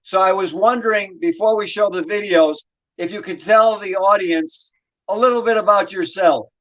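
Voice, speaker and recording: A man hosting an interview show, reading a long guest introduction in a measured way. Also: sits high, fairly bright tone, dull audio. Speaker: show host.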